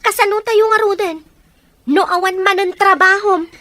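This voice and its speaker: A woman's voice: very high-pitched, steady tone, normal speed, pushed and bright, no room sound. An actress playing a bitter wife who resents her husband's child in a radio drama. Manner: bitter, accusing.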